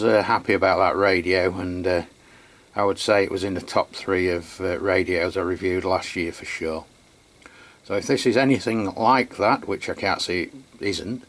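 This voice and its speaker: An Englishman giving an unscripted product review and demonstration, chatty and matter-of-fact, with a halting delivery. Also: sits low. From England. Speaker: radio reviewer.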